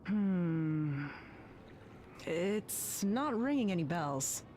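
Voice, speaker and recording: A woman's voice: lowish voice, animated, extremely slow, darkish tone, a little hiss or hum. A woman voicing a middle-aged village woman in a game cutscene who speaks hesitantly, unable to recall her past. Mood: puzzled. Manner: hesitant, searching for lost memories. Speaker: game character.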